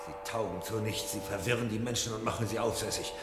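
An uneasy, obedient fireman played by a man in a radio play. He speaks briskly but stiffly, subdued before authority. The sound is echoey with a long hall reverb. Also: fairly low pitch, fairly even intonation, music in the background, close to an everyday voice. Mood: dutiful, tense. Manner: hesitant, then mechanical recitation. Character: uneasy, subdued male fireman obeying his captain. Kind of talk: German radio play acting.

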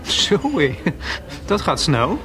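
A vain, flirtatious male singer in a radio drama. His patter is slow and showy, swinging widely in melody, with music playing behind him. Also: a little high, animated. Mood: boastful, flirtatious. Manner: showy, drawling, sprinkles in foreign phrases. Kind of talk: Dutch radio drama acting.

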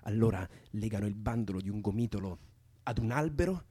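Radio drama: young man, imaginative, soothing and coaxing, very animated intonation, husky edge. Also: lowish voice, a little fast. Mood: absorbed storytelling. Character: young man soothing a distressed woman with invented stories. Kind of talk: Italian radio drama acting.

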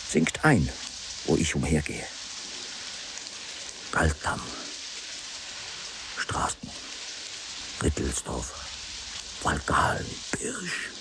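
A very low-voiced, deranged man broods aloud in a radio play. He speaks slowly and haltingly, repeating himself and swinging from full voice toward whispers. A clear wash of noise lies over the recording. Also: exaggerated voice. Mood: haunted whisper. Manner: halting, repetitive, drifting into whispers. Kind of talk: literary radio drama monologue.

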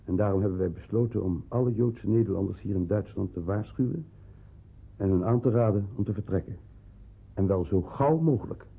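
An actor playing a courteous, grave consulate official in a radio drama, speaking formally and with measured emphasis. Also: male voice, on the low side, gravelly, breathy edge. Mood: grave, insistent. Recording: muffled, phone-like old radio recording.

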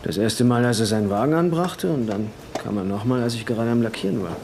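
A man's voice: medium pitch, fast, darkish tone, slight background noise, natural voice. A man playing a casual, bigoted auto painter in a radio crime drama, offhand and slightly defensive. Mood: offhand, wary.

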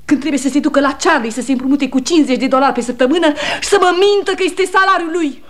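A woman's voice: high voice, a bit bright. An actress playing a weary, fiercely loyal aging wife and mother, pleading and reproachful, in 1960s radio theatre style. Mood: indignant grief.

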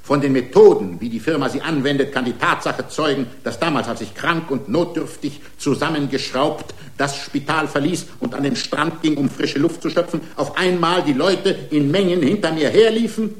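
A man's voice: a little high, moderate pace, few pauses, slight background noise, close to an everyday voice. An actor playing an indignant, argumentative racing driver who is part man, part machine, pleading his own case in a German radio play. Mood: aggrieved. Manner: argumentative, rhetorical, aggrieved. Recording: roomy studio courtroom sound.

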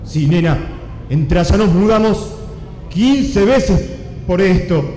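A man's voice: sits high, expressive, takes it slowly, mellow. A man playing a put-upon, indulgent father who pleads resignedly in improvised comic radio theatre. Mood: pleading. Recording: echoey hall with music.

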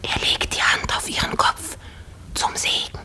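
Sharp, whispering onlooker in church. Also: female voice, soft background music. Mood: hushed, fascinated. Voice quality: whispering, breathy. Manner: hushed, unhurried commentary. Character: hushed, rapt onlooker at a church blessing. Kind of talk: German radio play acting.

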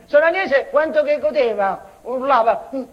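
An actress playing an unhinged, childlike former schoolteacher in a rambling tragicomic stage monologue, halting and repeating herself, swinging between outbursts and muttering. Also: female voice, high voice. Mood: excited rambling. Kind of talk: theatrical comic-tragic monologue, broken invented dialect. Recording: dull, noisy theatre recording.

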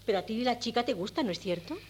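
An actress playing a chatty, blunt middle-aged mother in a 1970s Spanish radio play, brisk and meddling, with a Castilian accent. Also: a little high, expressive, a little mellow, close to an everyday voice. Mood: curious. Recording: hissy humming old cassette recording.

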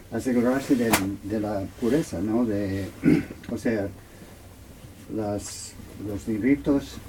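A man speaking Spanish as his second language, haltingly searching for words as he puts a question at a talk. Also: mid-range voice, dark tone, a little hiss or hum, low-quality recording. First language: not Spanish, Spanish as second language. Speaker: audience member asking questions. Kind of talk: unscripted question at a book talk.

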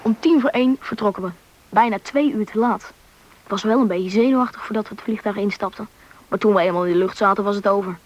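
A young performer playing a curious, eager Russian boy in a radio play, with a youthful, slightly husky voice, in a muffled old recording. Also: medium pitch, fast, natural voice. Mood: recounting. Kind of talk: radio play narration and dialogue.

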